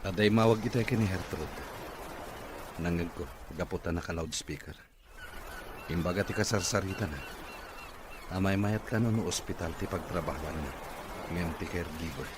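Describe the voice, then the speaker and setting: A man's voice: low-pitched, normal speed, long pauses, natural voice. An actor with an Ilocano accent playing a brooding male boss in a radio drama. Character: brooding male boss under a caregiver's care. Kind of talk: Filipino radio drama acting. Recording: noisy background ambience.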